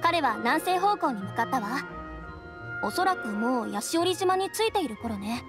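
A woman's voice: high voice, big pitch swings, normal speed. A woman voicing a composed, knowing young female detective in a Japanese anime game, matter-of-fact and self-assured. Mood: composed, informative. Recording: game music behind.

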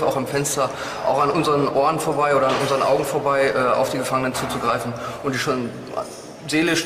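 A man working as a prison officer, explaining things in a matter-of-fact way. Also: medium pitch. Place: prison corridor, indoors. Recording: echoey hall with noise.

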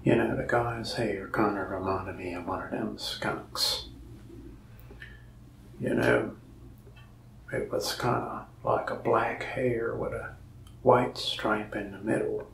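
A young man whispers his way through a soft-spoken ASMR roleplay as a shopkeeper, his low voice heavy with gravelly fry. He talks in slow, rambling, folksy asides. The sound is roomy and a little distant from the mic. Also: lively intonation, slight background noise. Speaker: shop salesman in a roleplay.

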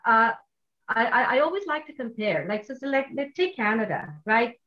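A woman's voice: on the high side, animated, normal speed, sharp tone, faint music behind. A woman in her sixties from Argentina, with an Argentine Spanish accent, speaking animatedly on an online panel with many fillers and restarts. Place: home office with wall maps. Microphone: video call. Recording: slightly muffled video call audio.

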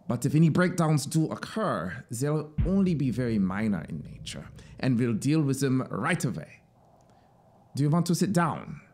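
Eager, animated military officer acted by a man, pitched up. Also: normal speed. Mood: earnest, insistent. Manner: animated, insistent, explanatory. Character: eager, talkative male military officer, devoted to his machine. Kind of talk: dramatic audiobook character reading.

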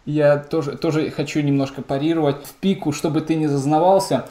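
A man's voice: on the high side, fairly even intonation, a little fast, warm tone, a little hiss or hum. A man hosting a casual video call chat, telling stories and teasing his guest. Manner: chatty storyteller, teasing. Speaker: channel host. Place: indoors, small room. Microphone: headset on video call. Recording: echoey room.

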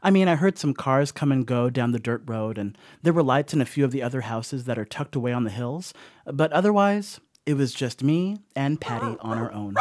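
Sheepish young male stoner house-sitter played by a man, horror radio play. Mid-pitched, rambling, apologetic, closely and dryly recorded. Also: no room sound, studio quality, natural voice. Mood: sheepish, reassuring. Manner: rambling, apologetic, casual asides. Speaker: voice actor. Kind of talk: audio horror monologue, conversational confessional.

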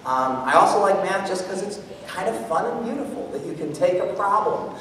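American man, middle-aged, stage speaker, animated, higher-pitched, distant echoey noisy hall recording. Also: fairly quick. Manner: animated, jokey, thinking aloud. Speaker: guest speaker, mathematician performer. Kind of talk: live stage talk with audience questions. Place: theatre stage, indoors.

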